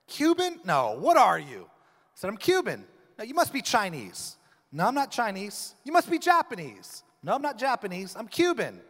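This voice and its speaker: A man preaching a sermon as pastor, joking and acting out stories with quoted voices. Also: high-pitched. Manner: lively, joking storyteller, acts out quoted voices. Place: church sanctuary. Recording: long reverb of a church hall.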